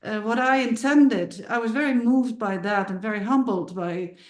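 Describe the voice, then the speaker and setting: A woman's voice: a little high, normal speed. A middle-aged woman from the Netherlands with a Dutch accent, talking about her film on a podcast, full of restarts and repeated words. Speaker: filmmaker and musician guest. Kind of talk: casual podcast interview. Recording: roomy sounding.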